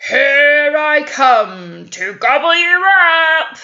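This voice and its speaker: A woman voicing a big, greedy male troll in a children's story, bellowing drawn-out threats. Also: sits high, sing-song, pushed and bright, roomy sound, lo-fi sound, voice pushed up, full character voice. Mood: hungry, menacing.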